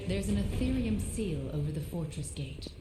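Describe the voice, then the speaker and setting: An actress playing a wise spirit guide and mentor in a video game, with grave, measured, instructive delivery. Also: female voice, fairly low pitch, lively intonation, heavy background noise, close to an everyday voice. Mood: guiding, calm. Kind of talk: video game exposition acting. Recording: reverberant voice under loud game music.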